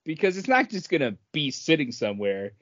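Animated man, slightly muffled recording. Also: a little high, a little fast. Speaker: podcast co-host. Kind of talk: casual chat.

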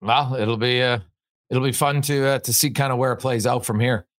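A man's voice: medium pitch, steady tone, normal speed, no room sound, studio quality. A man hosting a casual sports podcast, rambling and hesitant, full of uhs and restarts as he works through his opinions. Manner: rambling, hesitant with many fillers. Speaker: podcast host.

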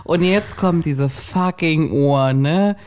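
A woman's voice: low-pitched, takes it slowly, darkish tone, a little hiss or hum. A woman in her thirties co-hosting a casual podcast chat, blunt and outspoken, with casual swearing. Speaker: podcast co-host.

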